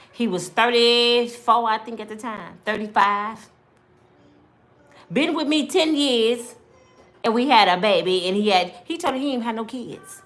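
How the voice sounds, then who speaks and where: Animated American woman with a bright voice. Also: on the high side. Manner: blunt, expressive, acts out dialogue. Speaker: storytime vlogger. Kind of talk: animated personal storytelling to viewers.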